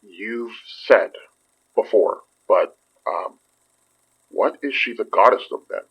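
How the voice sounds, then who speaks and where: An actor playing a relaxed, protective young boyfriend in a horror audio drama, talking in a playful, reassuring way. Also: male voice, on the low side, slow pace, gravelly, breathy edge, heavily acted. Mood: earnest. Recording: slightly muffled sound.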